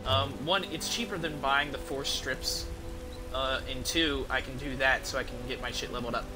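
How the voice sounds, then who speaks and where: Higher-pitched man rambling over game music. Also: fairly even intonation, normal speed. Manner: rambling, thinking aloud, swearing casually. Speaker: game streamer. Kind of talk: casual gameplay commentary.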